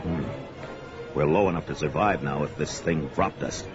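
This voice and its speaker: A man's voice: rumbling low, moderate pace. A man playing a brawny, blunt barbarian swordsman in a fantasy audio drama, with bold, theatrical delivery. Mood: wary. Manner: forceful, declamatory, theatrical. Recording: dull lo-fi, loud music underneath. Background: music.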